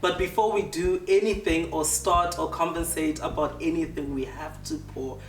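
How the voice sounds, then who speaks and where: A man's voice: fairly high pitch, steady tone, moderate pace, a little hiss or hum. A man with a South African accent hosts a casual interview, chatty and playful as he tells stories. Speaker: interview host. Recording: echoey room, mic at a distance.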